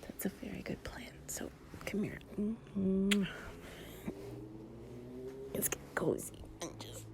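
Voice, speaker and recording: A woman speaking softly, often in a breathy whisper, in an intimate, affectionate and soothing improvised girlfriend ASMR roleplay. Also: medium pitch, slow pace, long pauses. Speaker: audio roleplay performer playing an affectionate girlfriend.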